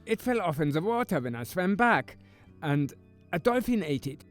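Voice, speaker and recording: A man's voice: a little high, big pitch swings, normal speed, faint music behind. A man voicing a blunt, matter-of-fact castaway in a comic audio story. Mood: excusing. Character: blunt, matter-of-fact member of shipwrecked crew.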